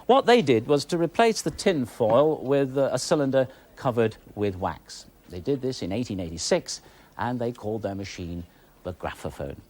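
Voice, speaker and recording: A man's voice: mid-range voice, lively intonation, normal speed, darkish tone. A man with a British accent presenting and explaining things in a documentary style. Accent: British English. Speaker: television presenter.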